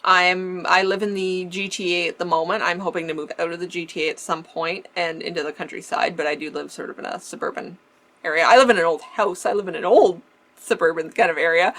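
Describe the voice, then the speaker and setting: A Canadian woman with a slightly lower-pitched voice talks casually, answering viewer questions one by one. Her accent is mild, and traces of an old lisp slip into some words. She laughs easily and often restarts her phrases. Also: steady tone, fairly quick, fairly bright tone. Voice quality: faint lisp remnant. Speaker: vintage-style vlogger. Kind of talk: casual Q&A chat.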